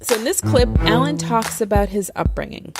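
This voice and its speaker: A woman's voice: a little high, moderate pace, creaky, loud music behind the voice. A woman hosting a podcast, reading her intro in a sassy, playful manner. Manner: sassy, playful, lively delivery. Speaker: podcast host. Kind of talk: upbeat scripted podcast intro.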